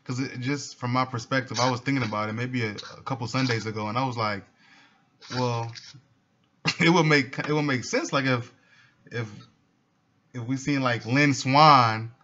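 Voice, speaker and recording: A man hosting a casual sports interview over a muffled phone connection with some faint noise. He has a bright, punchy voice and talks in an easygoing, slangy way. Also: mid-range voice, normal speed, pauses often. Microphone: phone call. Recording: dull, noisy phone line.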